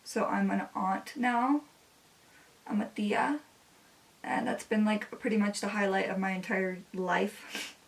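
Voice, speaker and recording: A woman's voice: medium pitch, steady tone, slow pace, creaky, pauses often, far from the mic. A woman in her twenties, a native Spanish speaker, chatting casually while doing her makeup, with many "like" fillers. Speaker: beauty vlogger.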